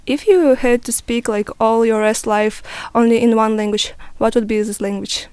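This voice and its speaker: Young woman host, clear dry voice. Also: fairly high pitch, fairly even intonation, moderate pace, darkish tone, no echo. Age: young adult. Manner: simple, slightly broken English questions. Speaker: podcast host. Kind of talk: student podcast interview.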